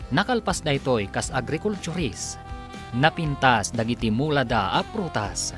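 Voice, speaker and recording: Casting: man announcing a radio serial, Ilocano accent, highly animated scripted narration over music. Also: normal speed.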